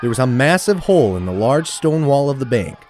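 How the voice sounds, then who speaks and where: A man's voice: medium pitch, sing-song, brisk, darkish tone, slight background noise, natural voice. A man narrating a scripted adventure story in a theatrical storytelling manner.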